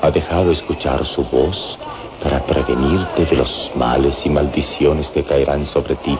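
A man's voice: rumbling low, fairly even intonation, music in the background. A man playing a solemn, mysterious adventurer hero who speaks grandly in an old-fashioned radio drama. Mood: solemn, persuasive. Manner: solemn, grandiloquent, deliberate warnings. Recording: dull old radio serial recording.

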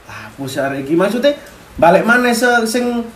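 A man's voice: sits high, very animated, moderate pace, fairly bright tone. A young man with a Malang Javanese accent, joking in casual slangy chat as a student podcast co-host. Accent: East Javanese, Malang. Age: young adult. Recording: echoey room, faint music.

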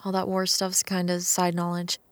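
An actress playing a quick-witted, frank modern woman in an audio drama, with casual, blunt phrasing. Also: fairly low pitch, monotone, no echo, lightly acted. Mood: matter-of-fact. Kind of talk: full-cast audio drama acting.

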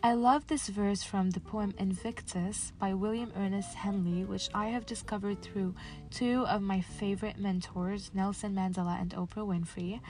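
A woman's voice: mid-range voice, steady tone, moderate pace, darkish tone, faint music behind, low-quality recording. A woman, hosting a scripted motivational podcast in an earnest, encouraging way. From Casablanca, Morocco. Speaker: podcast host.

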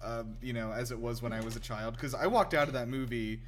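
A young man hosting a podcast, chatting casually about films in a halting, rambling, informal way. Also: medium pitch, slight background noise. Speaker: podcast host. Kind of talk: casual chat, informal film review.

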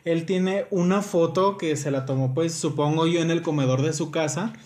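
A man's voice: a little high, moderate pace, darkish tone. A man with a Mexican accent, chatting casually and playfully reading aloud as a podcast co-host.

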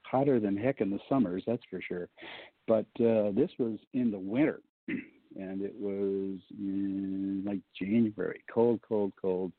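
Male guest with a deep baritone voice, loose and chatty, heard over a thin phone line. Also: fairly even intonation, slow pace, dry recording. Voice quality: low baritone voice. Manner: rambling, joking, many fillers. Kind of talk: casual storytelling on a call-in show.

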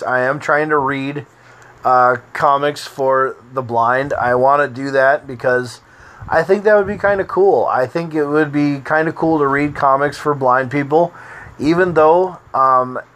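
A man's voice: mid-range voice, fairly bright tone. An American man hosting his own podcast, talking in a loose, meandering way with lots of fillers and restarts. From United States. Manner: meandering, easygoing, frequent fillers. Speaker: podcast host. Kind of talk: casual rambling monologue.

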